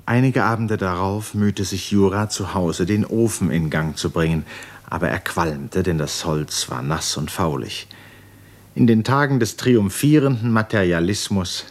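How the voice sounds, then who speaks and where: A man narrating a 1950s literary radio play in a measured, sober manner. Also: deep voice.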